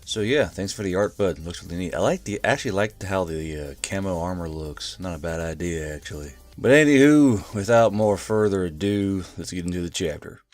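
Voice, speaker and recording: A man's voice: low voice, animated, fairly quick, hardly any pauses, darkish tone, dry recording, soft background music. A man hosting and narrating a story, with a casual, offhand way of talking. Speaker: channel host and narrator. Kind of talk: casual intro, then audiobook-style story narration.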